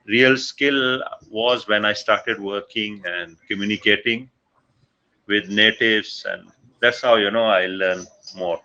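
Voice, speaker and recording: A man's voice: lowish voice, fairly even intonation, slow, pushed and bright, lo-fi sound. A man from Pakistan with a Pakistani accent, chatting casually on a livestream and speaking hesitantly with many fillers. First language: Punjabi. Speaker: livestream chat participant. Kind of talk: casual chat.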